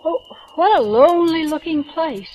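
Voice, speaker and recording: An actress playing a plucky, prim amateur sleuth, anxious but determined, in an old-time radio suspense drama. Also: female voice, very high voice, expressive, normal speed, darkish tone. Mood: flustered, alarmed. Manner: polite, precise, flustered. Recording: noisy vintage radio recording.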